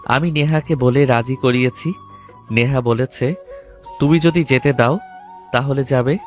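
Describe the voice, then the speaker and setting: A man's voice: mid-range voice, a little slow, faint music behind, lightly acted. A man playing a coaxing young lover in an audio love story. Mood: pleading.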